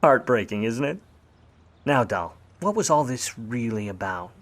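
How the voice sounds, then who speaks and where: A man's voice: mid-range voice, very animated, measured pace, a bit bright. A man acting a wry, grudging 1950s Hollywood private eye in a noir radio play, with slangy, sardonic, clipped tough-guy delivery. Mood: sardonic, insistent.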